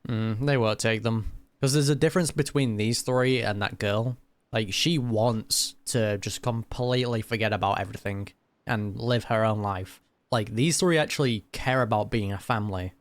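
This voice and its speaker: A man's voice: fairly low pitch, normal speed. A man giving casual reaction commentary on a video game, informal and thoughtful, with blunt wording. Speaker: gaming reaction host. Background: game music.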